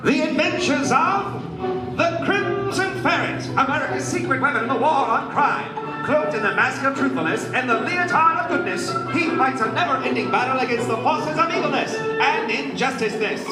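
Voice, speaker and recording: An actor playing a grandiose old-time superhero serial announcer, narrating a mock-heroic radio play. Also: male voice, extremely high pitch, lively intonation, normal speed. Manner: grandiose, dramatic. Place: live stage at a convention. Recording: echoey convention room, distant camera, music behind.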